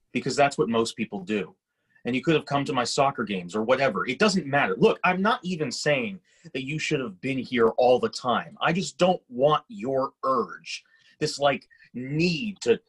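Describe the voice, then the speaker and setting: A man's voice: medium pitch, a bit bright, breathy edge. A man playing a resentful, practical grown son in a screenplay reading, blunt and conversational. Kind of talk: screenplay table-read acting.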